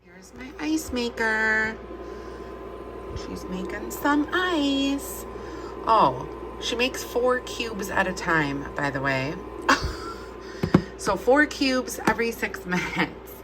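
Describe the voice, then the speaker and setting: A woman chatting casually to her camera as a home vlogger, a bit stuffy-nosed, rambling along with soft asides. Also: on the high side, animated, slow pace, long pauses, background noise. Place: kitchen at home, indoors.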